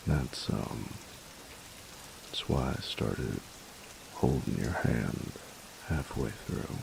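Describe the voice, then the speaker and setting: A gruff young bully boyfriend, acted by a man in an intimate roleplay, with an extremely low voice full of heavy fry. He takes his time and teases with a tender undertone. Clear hiss and rain sit behind him. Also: exaggerated voice. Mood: gruff, flustered. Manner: gruff, teasing, halting when tender. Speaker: voice actor in roleplay audio. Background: steady rain.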